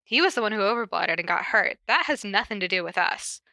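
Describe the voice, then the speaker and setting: A woman's voice: medium pitch, expressive, brisk, creaky, pushed and bright, no room sound, clean studio sound. A woman voicing a cheeky, beaten-up young male student, sardonic and informal, in a story reading. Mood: defiant.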